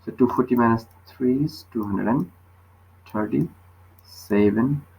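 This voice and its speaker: A man's voice: medium pitch, measured pace, vocal fry, darkish tone, husky, low-quality recording. A man tutoring physics, explaining a worked problem step by step and often checking with short questions.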